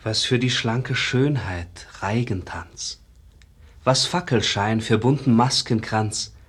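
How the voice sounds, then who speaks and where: An actor playing a rapturous, devoted pupil of a master painter, reciting exalted verse in stage German for a 1950s radio drama. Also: male voice, mid-range voice, slow pace, a bit bright, breathy edge. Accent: standard stage German. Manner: exalted, rhythmic, lyrical.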